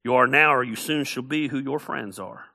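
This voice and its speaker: A man's voice: medium pitch, moderate pace, vocal fry, bright, forceful tone. A middle-aged man preaching a sermon as pastor, emphatic and repeating key phrases for effect.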